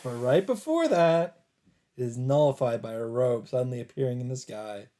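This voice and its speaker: A man narrating a visual novel aloud as a let's player, in a measured, casual read-aloud delivery. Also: mid-range voice, expressive, dark tone.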